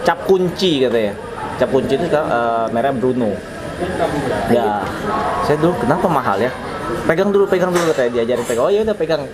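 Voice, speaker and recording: A man in his thirties from Sumatra, a branch manager telling his career story in a casual interview, relaxed and chuckling often with lots of fillers. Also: medium pitch, brisk, long pauses, over a noisy crowd, poor audio. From Sumatra, Indonesia. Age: 30s.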